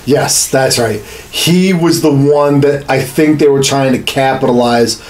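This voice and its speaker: A man's voice: mid-range voice, a little slow, a little mellow. A man in his thirties chatting casually and insistently as a co-host, swearing freely and arguing his point. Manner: foul-mouthed, insistent, argumentative. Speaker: podcast co-host. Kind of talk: casual chat about video games. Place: small indoor home studio room. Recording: roomy, echoing small room.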